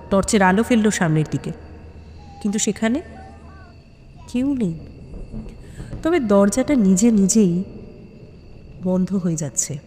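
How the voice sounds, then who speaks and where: Female storyteller, mid-pitched, for dramatic thriller narration with measured phrases and pauses, drenched in long reverb. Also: dark tone.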